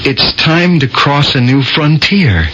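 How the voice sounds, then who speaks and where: An actor playing a determined, idealistic young surgeon in a radio drama, American, earnest and deliberate. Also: male voice, on the high side, animated, bright, forceful tone, heavily acted. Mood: earnest, resolute. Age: young adult. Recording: dull, noisy old radio broadcast.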